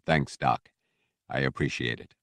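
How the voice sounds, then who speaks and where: A man voicing a middle-aged sergeant in an audiobook, easygoing, gruff and laconic. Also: extremely low pitch, steady tone, a little slow, a bit bright, no room sound, voice pushed down.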